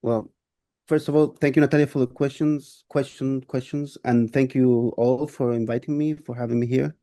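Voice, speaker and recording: 50s guy from chile, super dark mellow voice, dry sound, keeps restarting his sentences. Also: medium pitch, steady tone, moderate pace, no echo. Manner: thoughtful, many restarts and fillers. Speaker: guest artist. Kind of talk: interview answer.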